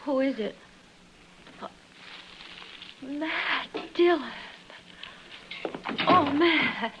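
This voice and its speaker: A troubled young frontier wife speaks very slowly in a fairly high voice. She sounds trusting, in radio western style. The old recording is muffled. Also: animated, a bit bright, a little hiss or hum, full character voice. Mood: worried, weary. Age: young adult. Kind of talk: 1950s radio western acting.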